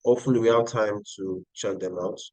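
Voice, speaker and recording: A man's voice: fairly low pitch, steady tone, fairly quick, a little mellow, no room sound, rough recording. A Nigerian-accented man hosting a live trading stream, talking viewers through charts and answering their chat. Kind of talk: live-stream market analysis.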